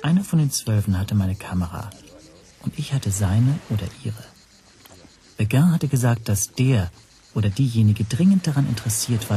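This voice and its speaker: A man's voice: medium pitch, mellow, a little hiss or hum. An actor playing a tense, self-doubting man who tells his own story as introspective first-person narration in a radio play.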